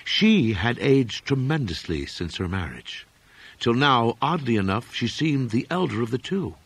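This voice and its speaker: A man narrating a literary horror story in a measured, formal storytelling manner. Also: mid-range voice, big pitch swings, breathy edge, no room sound, low-quality recording. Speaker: audiobook narrator.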